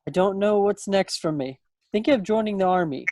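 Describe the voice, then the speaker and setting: A man's voice: sits high, fairly quick, a little mellow, no echo, lightly acted. Young 1940s small-town guy played by a man, playful and folksy, eager suitor, dry close sound, stage-play read. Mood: chatty, earnest. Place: at home on a video call. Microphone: computer microphone on a video call.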